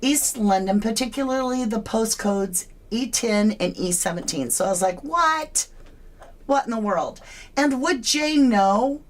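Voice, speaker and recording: A woman's voice: a little high, lively intonation, unhurried. A woman hosting a true crime live stream, chatting casually and greeting her viewers by name. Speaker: true crime livestream host.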